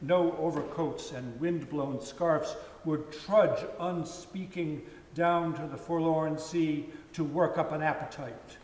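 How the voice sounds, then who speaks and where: A man narrating a story in a slow, measured dramatic reading. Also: a little high, fairly even intonation, darkish tone, slight background noise. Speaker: reader. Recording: echoey hall from far off.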